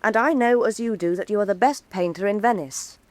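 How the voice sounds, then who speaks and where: A woman's voice: medium pitch, brisk, a little mellow, dry recording, close to an everyday voice. An actress playing an earnest young woman painter who speaks frankly and pleads with her mother in a stage radio drama. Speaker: painter, daughter.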